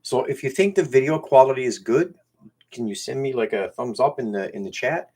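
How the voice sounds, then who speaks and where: A man with a strong Newfoundland accent, hosting a casual live stream and chatting in a halting way, often repeating words and restarting. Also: mid-range voice, a little mellow, husky. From Newfoundland, Canada. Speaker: YouTube channel host. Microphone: camera used as webcam.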